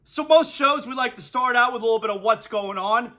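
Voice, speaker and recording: A man in his forties hosting a solo sports podcast, talking in an energetic, excitable way and reading sponsor spots between riffs on the games. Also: very high voice, a little fast. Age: 40s. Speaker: sports talk host.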